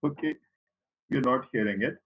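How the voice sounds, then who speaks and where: A man with a Pakistani accent lectures a university class over an online call. His voice sits at a middle pitch, and he circles back over the same words to make sure the students follow. The audio is dull and lo-fi, like a compressed call. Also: fairly quick. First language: Saraiki. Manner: repetitive, explanatory, addresses students directly.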